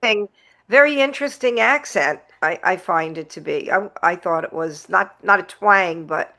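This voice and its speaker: A woman with a New York accent co-hosting a podcast, talking casually with halting repeats. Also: fairly low pitch, sharp tone. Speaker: podcast co-host. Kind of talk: casual interview chat.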